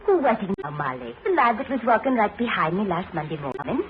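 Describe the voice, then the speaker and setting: A woman's voice: mid-range voice, very animated, fairly quick. An actress playing a curious, insistent young village woman with an Irish accent in old-time radio drama style. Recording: old radio recording, dull, loud hiss.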